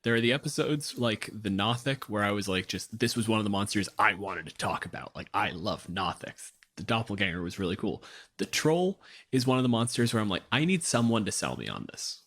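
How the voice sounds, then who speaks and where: A man's voice: on the low side, lively intonation, brisk, fairly bright tone. A man from Canada co-hosting a podcast in a conversational way. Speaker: podcast co-host, physicist.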